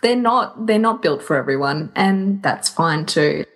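A woman's voice: low-pitched, expressive, unhurried. A woman co-hosting a podcast in a casual, conversational style. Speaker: podcast co-host.

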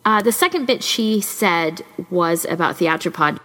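A woman's voice: lowish voice, animated, a little slow, fairly bright tone, rough recording. A woman co-hosting a podcast in casual, good-humoured chat. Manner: good-humoured, given to laughing as she talks. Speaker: podcast co-host.

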